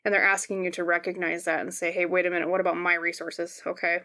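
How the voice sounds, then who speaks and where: A woman with a slightly scratchy voice giving a casual, conversational tarot reading to her viewers, explaining gently and often checking in. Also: lowish voice, little pitch movement, fast talker. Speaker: tarot reader, host.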